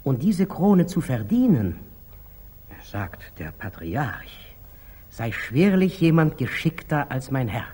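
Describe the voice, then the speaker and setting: Meek, obedient monk, very slow and deliberate, rough-voiced, old radio-play acting. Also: male voice, medium pitch, sing-song, darkish tone, exaggerated voice. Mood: sly, hesitant. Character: humble, artless, obedient monastery lay brother. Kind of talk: 1940s German radio stage acting.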